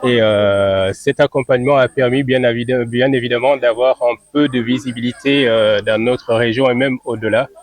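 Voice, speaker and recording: A man who grew up in France, answering interview questions as a football club president. He speaks in a measured way, pausing often on "euh". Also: medium pitch, steady tone. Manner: measured, many hesitations on "euh".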